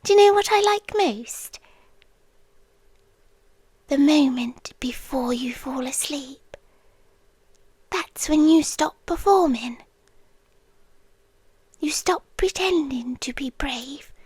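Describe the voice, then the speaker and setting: A British woman playing an obsessive, possessive girlfriend in an ASMR roleplay, whispering softly and intimately close to the microphone. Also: sits high, lively intonation, no room sound. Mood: creepy tenderness. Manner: slow, breathy, intimate whispering mixed with soft voice. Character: obsessive, possessive yandere girlfriend lurking under the bed. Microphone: close, dry microphone.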